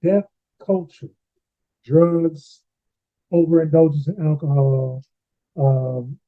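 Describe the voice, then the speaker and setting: A man's voice: fairly high pitch, fairly even intonation, takes it slowly, narrow, tinny audio. A man hosting a Bible read-along in casual, informal short phrases, heard through a thin home stream mic. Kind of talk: casual conversational discussion.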